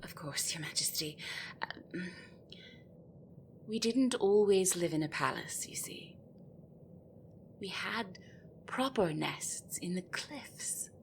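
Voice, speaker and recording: A woman's voice: lowish voice, expressive, takes it slowly, pauses often, a little hiss or hum. A woman playing an anxious, desperate female gryphon in an audio roleplay, speaking in a hesitant, deferential, pleading way. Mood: hesitant, wistful.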